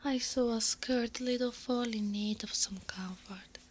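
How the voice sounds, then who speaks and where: Soft whispering centaur woman, acted by a woman, teasing. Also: a little high, fairly even intonation, a little slow. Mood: fond, gentle. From Italy. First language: Italian. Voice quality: breathy, often whispering. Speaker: ASMR roleplay performer. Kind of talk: ASMR roleplay.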